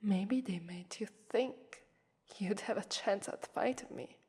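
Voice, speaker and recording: A woman's voice: mid-range voice, darkish tone. A woman with an Italian accent voicing a haughty, mocking female vampire who toys with her prey in a theatrical ASMR roleplay. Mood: disdainful. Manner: mocking, theatrical, drawn-out phrasing with breathy whispers. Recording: long reverberant hall echo.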